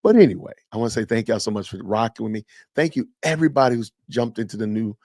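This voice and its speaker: A man in his fifties with a low, gravelly voice talks straight to his live stream audience. He answers comments as he reads them and keeps things loose and conversational. The sound is dry and close. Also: fast talker, warm tone, dry recording. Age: 50s. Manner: chatty, reads and answers live chat aloud. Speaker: podcast host. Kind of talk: casual live stream chat with viewers.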